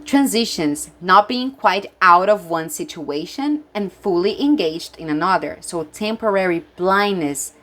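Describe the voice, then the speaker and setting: A Brazilian woman with a Brazilian accent, whose first language is Portuguese, giving a tarot reading to viewers in an excitable way, often restarting her sentences. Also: on the low side, measured pace, hardly any pauses. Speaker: tarot reader.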